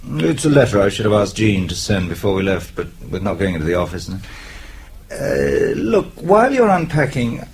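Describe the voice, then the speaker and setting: Polite, preoccupied businessman, expressive. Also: lowish voice, vocal fry. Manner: polite, measured, slightly hesitant. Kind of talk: radio drama acting.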